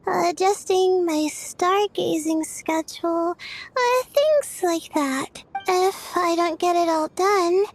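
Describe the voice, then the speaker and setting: A timid, sleepy young student in a game, speaking in a very high, soft voice. She talks slowly and hesitantly, stumbling over fillers, with faint music behind. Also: exaggerated voice. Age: young adult. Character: shy drowsy young female student.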